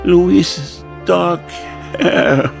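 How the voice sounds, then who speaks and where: An actor playing a tormented amnesiac composer in an asylum in a radio drama, halting and theatrical. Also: male voice, high-pitched, creaky, music in the background, heavily acted. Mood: grief-stricken hysteria. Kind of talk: old-time radio drama acting.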